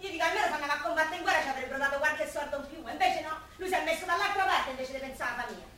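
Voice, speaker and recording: Brash working-class Roman daughter, quick and bright, argumentative radio drama heroine. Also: female voice, high voice. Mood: resentful. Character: outspoken, ambitious working-class daughter in wartime Italy. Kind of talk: stage-style radio play acting in dialect. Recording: echoey room, distant mic, faint noise.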